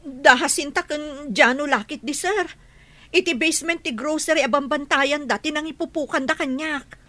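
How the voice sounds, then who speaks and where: High-pitched, aggrieved, pleading woman. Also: moderate pace, bright, forceful tone, no echo. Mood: urgent, accusing. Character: aggrieved, insistent woman reporting her captors to police. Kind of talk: radio drama acting in Ilocano.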